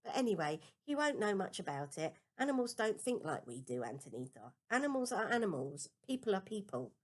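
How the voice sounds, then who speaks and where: A woman voicing a storybook's protective older sister, gently warning and soothing her little brother in a matter-of-fact way. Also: medium pitch, very animated, a little fast. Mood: reassuring.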